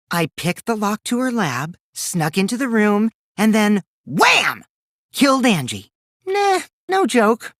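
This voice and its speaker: A man's voice: high voice, fairly bright tone, dry recording, clean studio sound, exaggerated voice. An actor playing a mischievous, taunting teenage boy in a video game, theatrical and teasing, with a youthful boyish voice that can turn from cutesy to cold. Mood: mock confession. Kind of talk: animated game dialogue in a murder-mystery trial.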